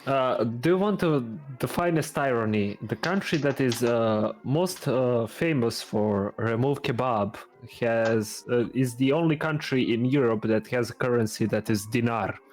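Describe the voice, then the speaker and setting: A man in a casual online group chat, pausing often as he makes his points. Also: mid-range voice, darkish tone. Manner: hesitant, full of uh fillers. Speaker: panelist.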